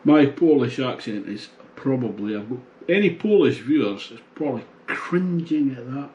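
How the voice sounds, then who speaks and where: A man in his sixties casually reviewing a beer at home, thinking aloud in a relaxed, musing way with pauses. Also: mid-range voice, dull audio, slight background noise. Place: small home bar room, indoors.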